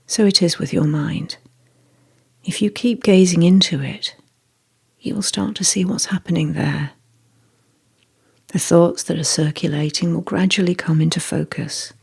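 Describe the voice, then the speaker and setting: A meditation guide reading a scripted guided meditation in a soft, breathy, measured and deliberate way. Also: mid-range voice, fairly even intonation.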